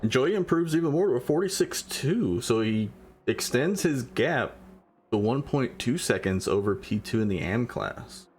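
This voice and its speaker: A man's voice: medium pitch, animated, darkish tone, slight background noise. A man in his late thirties giving casual live commentary on an online race, joking with his co-host and speeding up when he calls lap times. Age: 30s. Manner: laid-back banter, quickens when calling lap times.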